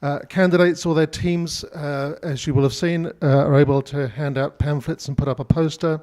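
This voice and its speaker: A man opens a community meeting with housekeeping notes. His voice sits a little high, and he pauses often on uhs. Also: steady tone, a little mellow. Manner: halting, frequent uhs. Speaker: meeting host. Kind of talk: announcements before speeches. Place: community hall meeting room.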